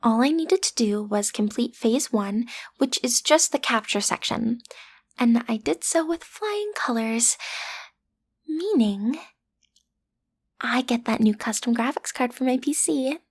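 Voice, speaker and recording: A cute, high-pitched teenage girl, acted by a woman, plays at being a supervillain in a roleplay drama. She is sassy and theatrical, speaking straight to her listener. Her menace is playful and rough around the edges, with an awkward, hesitant streak. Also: animated, unhurried, full character voice. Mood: proud, explaining. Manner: theatrical, sassy, hesitant when breaking character.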